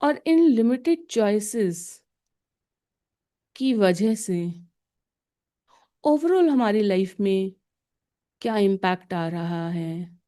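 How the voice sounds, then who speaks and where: A woman guiding a meditation with calm, deliberate instructions in short, separated phrases. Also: mid-range voice. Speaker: guided meditation teacher.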